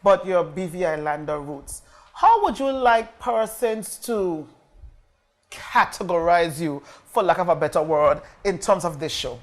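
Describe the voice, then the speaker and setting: A woman's voice: on the low side, animated, a little slow. A woman hosting a conversational interview in an encouraging, affirming manner. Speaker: talk show host.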